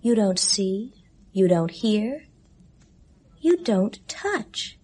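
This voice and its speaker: A woman's voice: medium pitch, sing-song, extremely slow, a little mellow, husky, heavily acted. An actress playing a restless, bored young woman in a 1970s radio drama, speaking in a languid, sighing, bitterly weary way.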